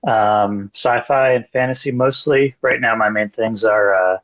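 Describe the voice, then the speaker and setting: A man's voice: lowish voice, measured pace, a bit bright. A man introducing himself on an online writers' panel, hesitant and full of fillers, heard over lo-fi call audio. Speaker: panelist, author. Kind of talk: casual panel chat.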